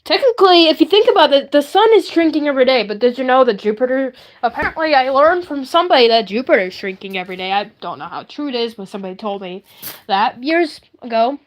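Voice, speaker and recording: High-pitched lady livestreamer just rambling along, playful n kinda silly, real casual. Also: expressive, fast. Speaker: livestream host. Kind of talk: casual chatty livestream, showing handmade puppets.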